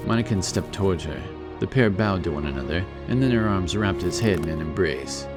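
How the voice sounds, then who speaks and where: A man's voice: low-pitched, animated, a little fast, loud music behind the voice. A man giving scripted narration for a fantasy audiobook, in the role of storyteller.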